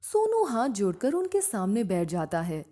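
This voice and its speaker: A woman narrating a scripted moral story in a deliberate, simple storytelling manner. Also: medium pitch, huge pitch swings, dark tone.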